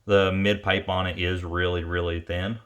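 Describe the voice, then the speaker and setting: A man giving an informal, unscripted technical review as a tuner and presenter, speaking haltingly and pausing mid-phrase to think. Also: low-pitched, little pitch movement, a bit bright. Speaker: ATV engine tuner and presenter.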